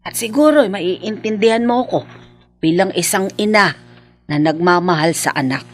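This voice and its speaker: A woman's voice: medium pitch, animated, normal speed, lightly acted. An actress playing a worried, protective village mother in a radio drama, earnest and hesitant. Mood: earnest, confiding. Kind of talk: Filipino radio drama acting. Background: faint music.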